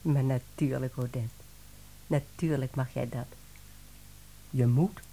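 A woman's voice: very deep voice, fairly even intonation, slow, dark tone, heavily acted, rough recording. An actress playing a sympathetic, bemused woman employer, hesitant and placating, in a radio drama. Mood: reassuring.

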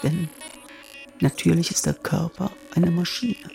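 An actor playing a mathematician who expounds an imagined machine in a measured, didactic way, in a radio drama. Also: male voice, on the high side, fairly even intonation, vocal fry. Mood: expounding. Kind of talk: radio drama monologue, lecturing. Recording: roomy echo with faint music.

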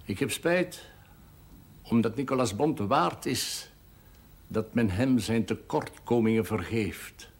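An actor playing a seventy-six-year-old priest, speaking slowly and solemnly in a husky voice, in a 1970s television drama. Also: male voice, mid-range voice. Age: 70s. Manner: slow, measured, solemn delivery. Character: old male priest, wise and melancholy.

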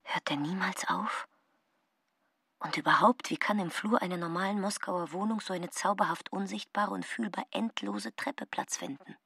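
An actress playing a bewildered, curious woman in a radio drama, hushed and breathy, as if thinking aloud. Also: medium pitch, moderate pace, dry recording, studio quality. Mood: hushed wonder. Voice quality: breathy, half-whispered. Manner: hushed, wondering. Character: bewildered, curious woman guest in an eerie place. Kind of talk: radio drama acting, inner monologue.

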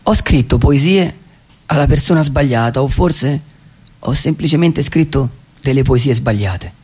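A man playing a dreamy vagabond poet who calls himself nobody, in a halting, reflective theatrical monologue in speech. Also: medium pitch, darkish tone, slightly rough. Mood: wistful. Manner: halting, repeats words and phrases reflectively.

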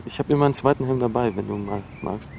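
An actor playing a defensive, awkward young dropout in a naturalistic radio drama, halting and repeating himself as he talks. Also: medium pitch, vocal fry, a little mellow. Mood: awkwardly confiding. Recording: noisy location recording with ambient hum.

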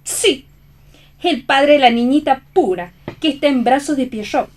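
Una actriz interpreta a una mujer caída, apasionada y desdeñosa, que defiende su amor con tono teatral en un radioteatro. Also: female voice, high voice, measured pace. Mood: indignant. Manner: theatrical, indignant. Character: scornful, passionate fallen woman defending her love. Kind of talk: theatrical radio play reading.

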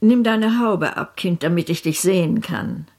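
Stern, imperious older great-aunt. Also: female voice, on the low side, lively intonation, unhurried, vocal fry, a little mellow, exaggerated voice. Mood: brusque, scornful. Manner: brusque, commanding, interrogating. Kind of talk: German radio play acting.

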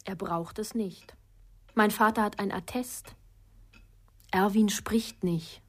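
A woman's voice: mid-range voice, steady tone, takes it slowly. An actress playing a guarded, fearful young woman in a radio crime drama, speaking in short, reticent statements. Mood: guarded, flat. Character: guarded, fearful young woman protecting her half-brother.

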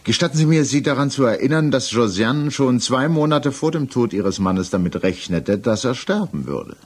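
An actor playing a stern, probing examining magistrate in a 1960s German radio play, questioning in a formal, pressing manner. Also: male voice, mid-range voice, lively intonation, moderate pace, breathy edge. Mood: insistent, accusing.

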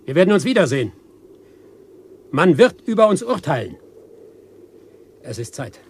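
A stern official. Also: male voice, on the high side, sing-song, moderate pace, slightly rough. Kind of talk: 1980s radio drama acting.

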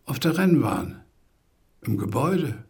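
An actor playing an older male security guard near retirement in a radio drama, husky and gravelly, stumbling over his word starts. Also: medium pitch, very animated, unhurried, a little mellow, heavily acted. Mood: wistful, hesitant. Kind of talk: naturalistic radio drama acting.

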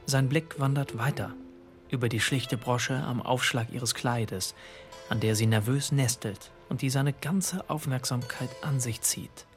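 An actor playing the observant, measured narrator of a literary radio drama. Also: male voice, medium pitch, steady tone, faint music behind, natural voice.

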